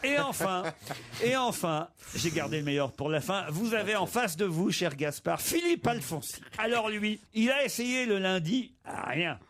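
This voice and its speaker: Playful high-voiced male radio host. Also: animated, moderate pace, a bit bright, a little hiss or hum. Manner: playful, reads jokes aloud with mock voices. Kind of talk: reading listener mail on a comedy radio show.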